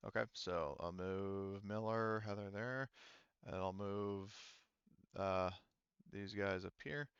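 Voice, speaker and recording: A man with a fairly low, clear voice acts as game master, explaining scenes conversationally and feeling his way through phrases. The sound is very dry and a little dull and lo-fi. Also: slow pace, fairly bright tone, dry recording. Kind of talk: improvised tabletop game narration.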